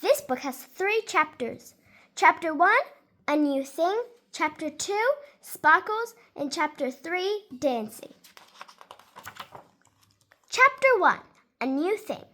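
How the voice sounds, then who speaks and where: A woman reading a children's storybook aloud in an expressive, deliberate way for young listeners. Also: very high voice.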